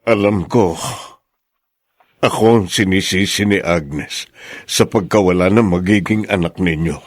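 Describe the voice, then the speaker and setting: An actor playing a humble, sorrowful older father in a radio drama, measured and regretful. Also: deep voice, dry recording. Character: sorrowful, humble older father blamed by his daughter-in-law. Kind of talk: Tagalog radio drama acting.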